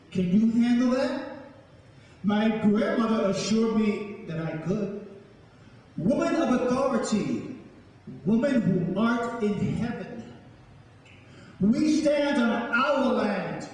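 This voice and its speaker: A man from the South Bronx, speaking as a spoken word poet on stage in a declamatory, deliberate manner. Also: high voice, darkish tone. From South Bronx, New York. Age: middle-aged or older. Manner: declamatory, solemn, deliberate phrasing. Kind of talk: dramatic live poetry recitation with spoken introduction. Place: large indoor hall, live performance before an audience. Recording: echoey hall, distant microphone.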